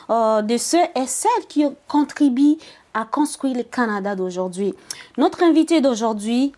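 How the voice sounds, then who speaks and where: A woman hosts a talk show interview, speaking slowly and with emphasis. She often pauses on filler sounds as she finds her words. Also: sits high, darkish tone. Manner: hesitant, many filler sounds, slow emphatic intro.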